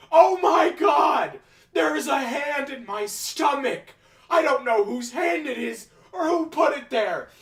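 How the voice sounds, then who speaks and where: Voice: mid-range voice, creaky, fairly bright tone. A miserable living snowman guy, dragging wailing delivery, begging politely, far off the mic in an echoey room. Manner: wailing, melodramatic, pleading. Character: agonized living snowman of junk, male, pleading, polite. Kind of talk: comic radio play acting. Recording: roomy, echoing, distant from the mic.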